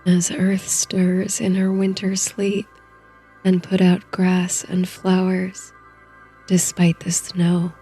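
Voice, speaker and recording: A woman narrating a guided sleep story in a soothing, hushed, unhurried way. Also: lowish voice, husky.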